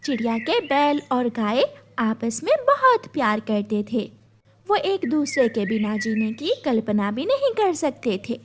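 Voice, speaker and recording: A woman narrating a children's story in an expressive, scripted storytelling style. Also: a little high, measured pace, background noise.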